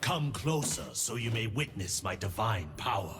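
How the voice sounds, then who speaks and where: An actor playing a proud, devout martial-arts monk in a video game, speaking in grave declamations. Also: male voice, lowish voice, expressive, normal speed, fairly bright tone, soft background music. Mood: solemn, proud. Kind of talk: video game character voice lines.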